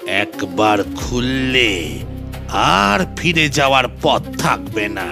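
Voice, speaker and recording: A man voicing an ominous, tempting, all-knowing spirit in an animated horror fairy tale, speaking in a dramatic, foreboding way. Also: mid-range voice, slow, vocal fry, music in the background. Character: ominous, tempting, all-knowing supernatural voice near a forbidden book.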